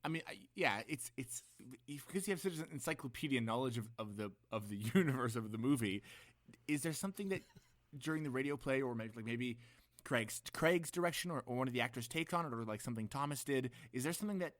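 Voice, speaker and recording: A man co-hosting a podcast, asking questions casually and haltingly as he searches for the right wording. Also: medium pitch, lively intonation, dry recording. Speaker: podcast co-host, interviewer. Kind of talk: casual interviewing.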